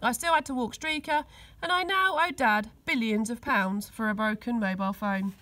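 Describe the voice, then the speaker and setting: A woman's voice: a little high, moderate pace, pushed and bright. A woman reading a children's story aloud expressively, recorded outdoors. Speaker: school teaching assistant reading aloud. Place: outdoors on grass.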